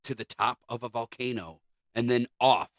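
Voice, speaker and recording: A man's voice: mid-range voice, moderate pace, dry recording. A man co-hosting a podcast, chatting casually and reading out stories. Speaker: podcast co-host.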